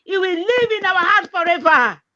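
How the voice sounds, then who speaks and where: A woman's voice: very high-pitched, expressive, moderate pace, bright, forceful tone, slight background noise. A woman with a Nigerian accent, Igbo by first language, giving an impassioned activist monologue on a livestream, repeating herself and breaking off mid-sentence. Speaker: political livestream host. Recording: dull lo-fi stream audio.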